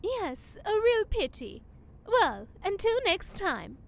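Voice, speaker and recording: A prim, politely catty young woman, played by an actress in a fantasy audio comedy. Her voice is extremely high and lilts up and down through formal, barbed courtesies. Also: big pitch swings, slow pace, gravelly, heavily acted.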